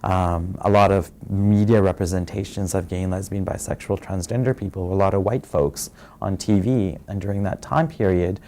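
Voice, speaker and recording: A man from Fiji telling his own story in a soft, reflective way, pausing often with fillers. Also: low-pitched, fairly even intonation, slight background noise. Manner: soft-spoken and reflective, many pauses and fillers. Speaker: interviewee sharing his story. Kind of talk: personal testimony.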